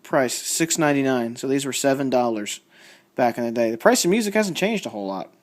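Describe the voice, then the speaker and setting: A man talks in a medium-pitched voice, casually and without a script. He rambles a little, thinking aloud and reading labels out as a collector showing off finds would. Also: expressive, a little fast. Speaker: record collector showing a haul. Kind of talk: casual unscripted show-and-tell commentary.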